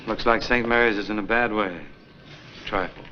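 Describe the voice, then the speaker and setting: An actor playing an easygoing, wry parish priest in a 1940s film, talking in a relaxed, joking way. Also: male voice, lowish voice, normal speed, a little hiss or hum, close to an everyday voice. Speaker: priest, new pastor. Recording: dull lo-fi 1940s film soundtrack.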